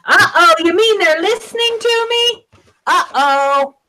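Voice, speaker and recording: Brief: high-pitched woman, loud and chatty, casual crafting talk over a video call mic. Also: animated, measured pace, slight background noise. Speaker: live stream co-host.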